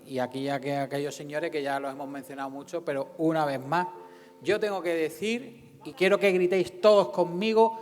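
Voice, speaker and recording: A man's voice: a little high, steady tone, moderate pace. A man hosting an informal presentation, speaking effusively with hesitant, repeated phrasing. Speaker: event host and narrator of a radio serial. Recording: echoey hall with long reverb, faint music.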